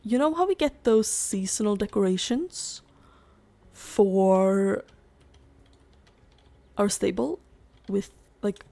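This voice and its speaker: A woman's voice: mid-range voice, expressive, slow pace, vocal fry, long pauses, mellow. A young woman chatting casually over gameplay, rambling and saying "like" constantly, her voice strained and giving out. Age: young adult. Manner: rambling, fills every gap with "like". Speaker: gaming YouTuber.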